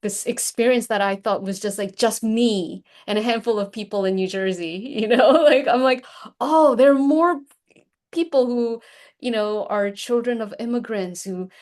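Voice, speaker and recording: A woman hosting an interview, born in Korea with Korean as her first language, talking in a lively way and often laughing. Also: a little high, moderate pace. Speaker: podcast host, executive coach. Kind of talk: conversational interview.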